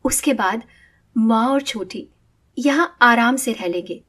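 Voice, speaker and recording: A devoted young daughter in a cartoon moral story. Her voice sits fairly high, and she speaks earnestly, pressing her point. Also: takes it slowly, a bit bright. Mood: firm protest. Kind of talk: animated moral story dialogue.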